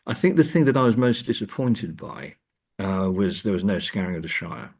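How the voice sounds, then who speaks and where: A man talks as a scholarly interview guest. His voice sits very low and has a husky grain. He feels his way through each point, pausing and searching for words as he reflects. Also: sing-song, darkish tone. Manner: hesitant, thoughtful, halting delivery. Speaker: interview guest, academic and author. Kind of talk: conversational literary and film discussion.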